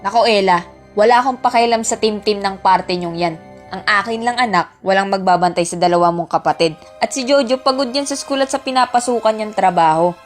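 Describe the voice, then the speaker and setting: A woman's voice: mid-range voice, brisk, natural voice. A woman acting a stern, old-fashioned Filipino mother who scolds her children in an amateur radio drama. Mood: scolding. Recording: music bed behind dialogue.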